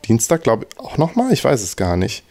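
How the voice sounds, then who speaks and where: A man's voice: medium pitch, huge pitch swings, brisk, darkish tone. A man hosting a casual podcast chat, talking in loose, hesitant sentences with many restarts. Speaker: podcast host.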